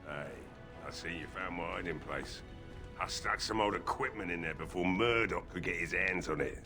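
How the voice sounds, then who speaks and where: A plain-spoken village man from a fantasy game, with a deep and very forceful, sharp voice. He talks in an offhand way, with faint music behind him. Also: normal speed. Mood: casual, guarded. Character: plain-spoken village man guarding his hidden stash. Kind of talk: video game dialogue acting.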